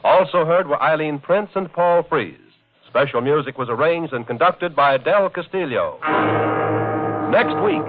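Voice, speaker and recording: A man with an American accent announcing formally and deliberately, in the scripted style of 1950 network radio. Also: a little high, lively intonation. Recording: tinny vintage radio broadcast, faint music.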